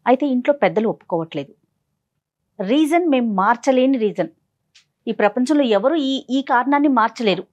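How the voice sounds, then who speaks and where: A woman's voice: a little high, animated, moderate pace, darkish tone, dull audio. A woman hosting a counselling talk show in speech, polite and mixing in English words. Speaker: TV programme host.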